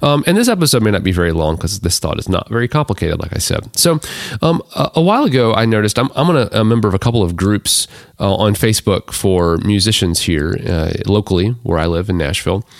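A man with a deep baritone, hoarse from allergies, hosting a casual solo podcast, rambling with many restarts and fillers. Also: animated, fast talker, no room sound, clean studio sound. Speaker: podcast host.

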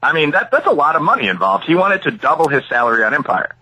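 A man's voice: fairly high pitch, big pitch swings, fast, pushed and bright, breathy edge, low-quality recording. A man from the Chicago area, an author and academic, answering interview questions expansively and thinking aloud with frequent asides. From Chicago area, USA.

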